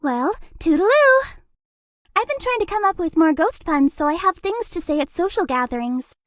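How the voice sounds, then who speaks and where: High-pitched, sweet, shy ghost girl. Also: lively intonation, moderate pace, no echo, clean studio sound. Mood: awkward, cheerful. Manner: hesitant, bubbly, gushing, self-deprecating. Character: sweet, shy, apologetic young female ghost, cute and awkward. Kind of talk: cute video game character acting.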